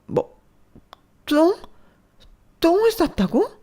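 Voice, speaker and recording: A woman voicing a domineering, furious middle-aged mother-in-law who shouts and scolds harshly. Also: very high-pitched, sing-song, drawn-out words, voice pushed up, heavily acted. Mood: shocked.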